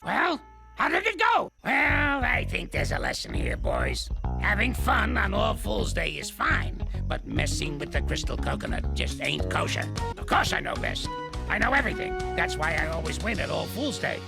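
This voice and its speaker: A crotchety old cartoon ape, gravelly and high-pitched, his voice leaping all over the place as he scolds and needles the youngsters. He drags his words out slowly, and loud music plays behind him. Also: wildly swooping pitch, full character voice. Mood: scolding. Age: older. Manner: scolding, teasing, name-calling. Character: grumpy old male ape, cranky prankster elder. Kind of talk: cartoon voice acting.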